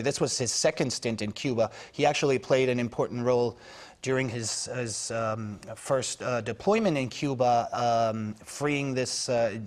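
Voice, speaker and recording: A man speaking as a foreign news correspondent on a news panel, analytical and halting, with many fillers and restarts. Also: medium pitch. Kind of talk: unscripted news panel discussion.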